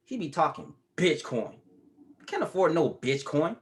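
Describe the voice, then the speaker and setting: A man's voice: on the high side, very animated, measured pace. Man playing a mouthy teenage boy. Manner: blunt, profane, cocky. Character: mouthy fifteen-year-old grandson. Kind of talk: table read.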